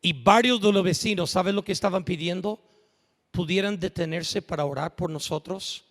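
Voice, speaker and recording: A preacher with a high, bright voice delivers an emphatic sermon, swinging from hushed lines up to full shouts. The sound rings out with the long reverb of a church sanctuary. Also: male voice, moderate pace. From United States. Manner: emphatic, rising to shouts at peaks.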